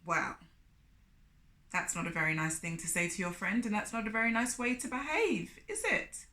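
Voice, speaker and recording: A woman's voice: medium pitch, expressive, moderate pace, bright, forceful tone. A woman storyteller reading expressively for children, with chatty asides and questions to the young listeners. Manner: asks the listeners questions, comments on characters. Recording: echoey room.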